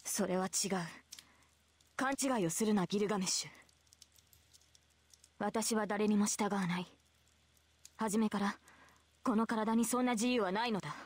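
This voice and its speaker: A woman's voice: mid-range voice, quick pace, long pauses, breathy edge, no echo, lightly acted. An actress voicing a proud, defiant young female knight king in a visual novel, speaking in a stern, resolute and formal way. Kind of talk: Japanese visual novel voice acting.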